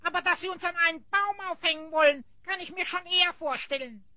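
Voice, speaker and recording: An actor playing a small, plucky and whiny elf goblin sidekick with an excitable, grumbling manner in a fantasy audio drama. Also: extremely high pitch, quick pace, no room sound, clean studio sound, exaggerated voice. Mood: alarmed.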